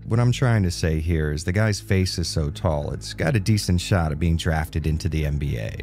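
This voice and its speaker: Game reviewer, man, very low mellow voice, stuffy nose from a cold, wry scripted voiceover. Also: normal speed, faint music behind. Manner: casual, wry and joking. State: nasal from a cold.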